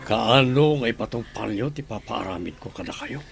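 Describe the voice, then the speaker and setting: A man's voice: mid-range voice, lively intonation, moderate pace, vocal fry, faint music behind. A man acting as a scheming crime boss in a radio play, commanding and scornful. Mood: bossy.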